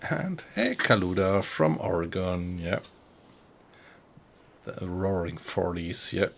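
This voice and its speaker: A German man with a German accent, chatting with viewers as he streams a game, relaxed and often quiet. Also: sits low, slow pace, vocal fry, husky. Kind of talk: casual gameplay commentary, chatting with viewers.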